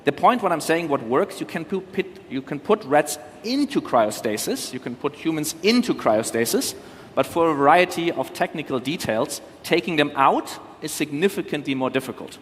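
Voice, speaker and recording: A man with a somewhat high voice speaks from a conference stage. He explains carefully, hedging his claims as a panel speaker does, and the big room adds a long reverb. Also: lively intonation, moderate pace, fairly bright tone, a little hiss or hum. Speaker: panel speaker, cryopreservation company representative. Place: large conference hall. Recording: reverberant hall sound.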